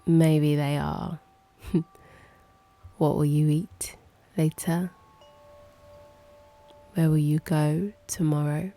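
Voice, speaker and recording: A woman with a low, mellow, creaky voice guides a meditation. She speaks in a soft hush, leaving long pauses and letting the ends of her phrases turn breathy. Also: flat delivery. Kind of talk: guided meditation, slow and hushed.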